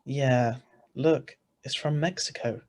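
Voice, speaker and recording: A man's voice: mid-range voice, takes it slowly, natural voice. A man playing an ordinary, easily flustered married man who narrates his own story in an old-style radio play, read over a video call. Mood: puzzled, domestic. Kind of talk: 1940s radio acting read aloud.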